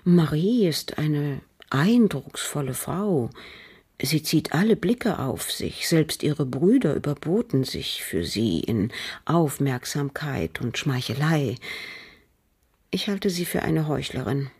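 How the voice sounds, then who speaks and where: An actress playing a bitter, cold aristocratic French widow in a radio crime drama, speaking formally and guardedly with a husky, breathy voice. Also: female voice, deep voice, big pitch swings, a little mellow, full character voice. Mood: scornful. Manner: measured, guarded, formal, with pauses. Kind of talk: German radio drama acting.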